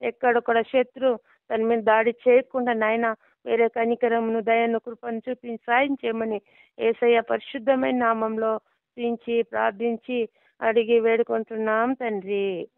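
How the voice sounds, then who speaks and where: A woman's voice: fairly high pitch, little pitch movement, moderate pace, dry recording. A middle-aged woman, a pastor praying and giving counsel over the phone. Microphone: phone line. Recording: muffled lo-fi phone call.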